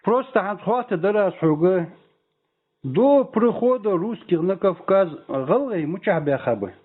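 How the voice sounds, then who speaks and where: High-voiced man on a tinny phone line. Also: expressive, measured pace, husky. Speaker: phone caller questioning the other man. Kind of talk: informal phone argument. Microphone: telephone. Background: music.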